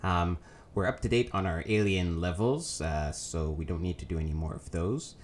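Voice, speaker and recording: A man's voice: low voice, lively intonation, moderate pace, slight background noise. A man with a cold and a nasal voice, giving rambling, casual commentary over his gameplay as a let's play host, with lots of ums and self-corrections.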